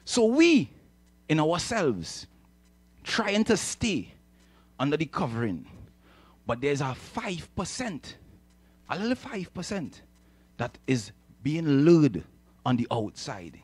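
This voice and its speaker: A Trinidadian man preaches in a high voice that swings widely in pitch. He drives his points home with repeated phrases and checks in with his listeners for agreement. The sound is close and dry on a handheld microphone. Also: wildly swooping pitch, takes it slowly, pauses often, slightly rough, no room sound. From Trinidad and Tobago. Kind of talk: animated sermon with audience call-and-response. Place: church hall, speaking from the stage.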